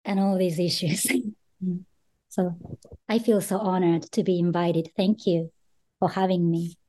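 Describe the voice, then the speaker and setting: Mellow-voiced Japanese-accented woman scholar. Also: fairly low pitch, steady tone, unhurried, poor audio. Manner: thoughtful, with frequent "um" and "you know". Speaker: author guest, associate professor. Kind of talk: podcast interview.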